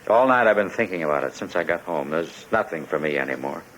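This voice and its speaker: A man's voice: sits low, expressive, fast talker, fairly bright tone. An actor playing a desperate, bitter immigrant man haunted by his past, insistent and wrought up, in a 1950s radio drama. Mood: desperate, defiant. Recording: dull, noisy 1950s radio recording.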